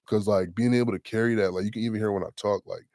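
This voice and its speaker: Deep-voiced young man, laid-back and slangy. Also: steady tone, rapid-fire, a little mellow, no room sound, clean studio sound. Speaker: rapper, guest. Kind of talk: casual interview chat.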